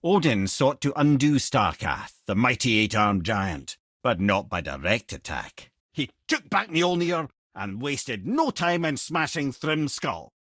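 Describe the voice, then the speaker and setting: A man's voice: medium pitch, big pitch swings, a little slow, hardly any pauses, fairly bright tone, no echo, clean studio sound. A man playing a witty, learned old talking head in a video game, an eloquent storyteller and loyal companion with a Scottish accent. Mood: solemn storytelling. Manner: wry, eloquent, colloquial storyteller. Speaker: companion and lore-teller.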